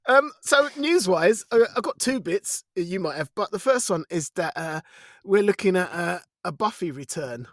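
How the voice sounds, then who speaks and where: A middle-aged man with a fairly high-pitched voice co-hosts a podcast. He talks in casual, bantering news chat. The sound is very dry, with no room echo. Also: expressive, moderate pace. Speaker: podcast co-host.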